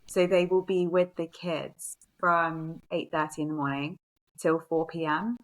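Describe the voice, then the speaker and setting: A woman co-hosting a podcast, her voice hoarse and nearly gone, clearing her throat between points. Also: low voice, steady tone, measured pace, low-quality recording. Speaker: podcast co-host, secondary teacher. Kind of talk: casual podcast chat.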